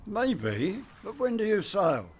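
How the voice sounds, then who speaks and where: An actor playing a wisecracking secret agent in an amateur radio drama. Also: male voice, high voice, very animated, slow pace, a little hiss or hum, heavily acted. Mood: wry. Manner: wisecracking, reacting with alarm in tense scenes.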